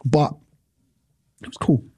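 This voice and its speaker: A young man in his twenties, a musician guest, talking in a relaxed, rambling way with lots of fillers and restarts. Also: takes it slowly, no room sound, studio quality. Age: 20s. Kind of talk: casual interview chat.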